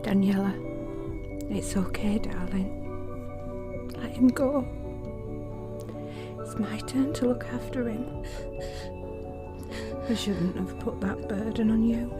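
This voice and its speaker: A woman's voice: medium pitch, takes it slowly, long pauses, loud music behind the voice, natural voice. A woman playing a loving, comforting mother heard as a voice in her daughter's head in an audio drama. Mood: tender, soothing. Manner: soothing, tender, emotional.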